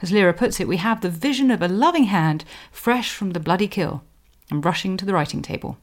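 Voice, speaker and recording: A woman presenting a scripted history explainer in a measured, scholarly manner, giving emphasis to quotations. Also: mid-range voice, lively intonation. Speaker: podcast host, historian.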